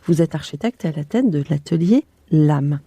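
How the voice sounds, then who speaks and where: Mellow French woman hosting a podcast. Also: sits low, expressive, moderate pace, breathy edge, no room sound. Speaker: podcast host. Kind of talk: scripted introduction and interview questions.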